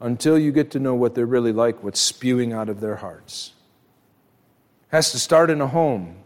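A man's voice: mid-range voice, moderate pace. A man preaching a church sermon as pastor, emphatic and direct, putting rhetorical questions straight to his listeners. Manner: acts out imagined dialogue. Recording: long hall reverb.

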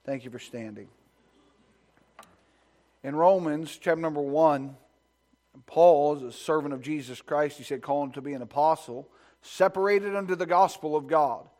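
A man pastor preaching a sermon in a church sanctuary, reading scripture and praying, at times hushed and at times rising with fervor. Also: normal speed, pauses often. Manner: builds to loud, high-pitched preaching fervor.

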